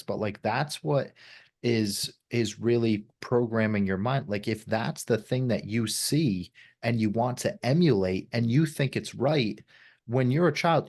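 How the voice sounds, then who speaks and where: A man's voice: medium pitch, a little mellow. A man hosting a podcast, speaking reflectively in casual conversation and often checking in with the listener. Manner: reflective, often adds "right?". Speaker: podcast host.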